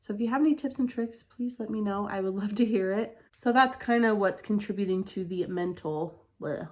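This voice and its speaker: A woman's voice: medium pitch, brisk. Stuffy-nosed woman chatting casually. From Utah, USA. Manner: chatty and candid, many "like" fillers. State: congested, stuffy-nosed from a head cold. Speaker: beauty vlogger. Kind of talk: casual get-ready-with-me chat.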